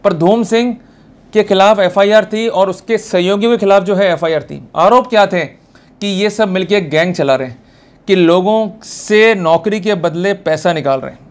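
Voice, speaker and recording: A man's voice: high voice, normal speed. An Indian man giving a motivational legal lecture, exhorting the listener emphatically with rhetorical questions and English terms mixed in. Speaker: presenter of a legal lecture series, advocate.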